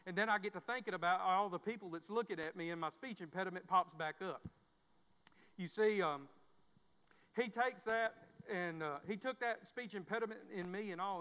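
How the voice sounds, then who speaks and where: A man's voice: high-pitched, a bit bright. A man preaching a sermon, with frequent repeated words and restarts from a stammer. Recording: dull sound, long church reverb.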